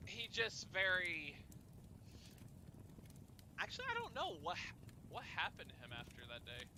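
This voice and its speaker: Bright, forceful young man telling stories. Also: high voice, huge pitch swings, slight background noise. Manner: halting, restarts and repeats words. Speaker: storyteller and host of a group chat. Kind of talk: casual personal storytelling.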